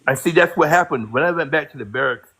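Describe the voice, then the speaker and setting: A man in his fifties from Barbados, a military veteran, telling a story casually in a halting way. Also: fairly high pitch, sharp tone, breathy edge, low-quality recording. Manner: halting, many fillers and restarts while telling a story.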